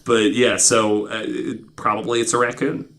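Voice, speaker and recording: A man's voice: fairly low pitch, little pitch movement, moderate pace, fairly bright tone. A man hosting a podcast, telling stories in a casual, emphatic way with easy swearing. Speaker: podcast host. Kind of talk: casual storytelling chat. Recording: clearly noisy recording with clicks.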